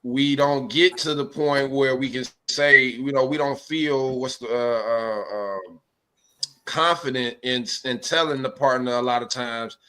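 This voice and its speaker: A man co-hosting a casual podcast chat, speaking haltingly with many restarts and fillers. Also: mid-range voice, sharp tone, smooth. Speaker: podcast co-host.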